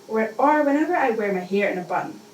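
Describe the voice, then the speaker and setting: Female poet, measured live reading with deliberate repetition, recorded from far off with background noise. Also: fairly high pitch, expressive. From Scotland. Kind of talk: spoken-word poetry reading. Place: indoor live poetry event. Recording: echoey, noisy room, mic distant.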